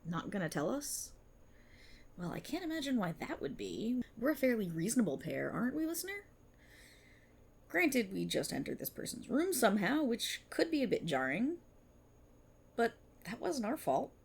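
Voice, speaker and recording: Woman acting an animated, husky, exasperated policewoman. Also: on the high side, normal speed, heavily acted. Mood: probing, wry. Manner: sarcastic, indignant, theatrical. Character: exasperated, self-aware policewoman fed up with her script. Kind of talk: ASMR audio roleplay monologue, dramatic acting.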